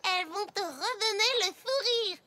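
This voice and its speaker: Bright, squeaky little schoolgirl pony. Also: moderate pace, slight background noise. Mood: sweet, helpful. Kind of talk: animated cartoon dub.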